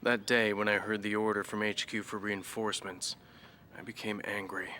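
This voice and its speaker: Ex-fighter pilot professor, low pitch, proud, solemn, deliberate, interview drama, slight background noise. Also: male voice, level tone, sharp tone. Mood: indignant. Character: proud, reflective male former fighter ace turned professor.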